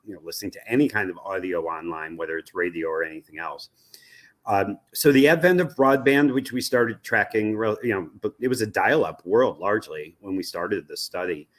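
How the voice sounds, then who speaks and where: An American man giving explanatory interview answers as an expert guest, measured and often halting to rephrase himself. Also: lowish voice. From United States. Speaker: interview guest, research company president.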